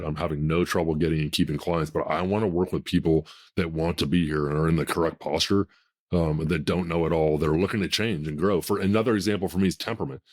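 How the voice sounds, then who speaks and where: A man in his fifties hosts a podcast conversation, his deep voice sitting very low. He talks bluntly and emphatically, with a self-assured tone. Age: 50s. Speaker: podcast host.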